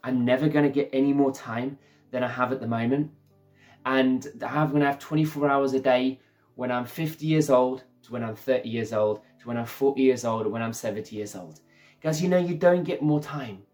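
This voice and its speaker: A man's voice: mid-range voice, brisk, darkish tone. A man preaching an enthusiastic, conversational sermon who talks to his listeners as "guys", over faint background music.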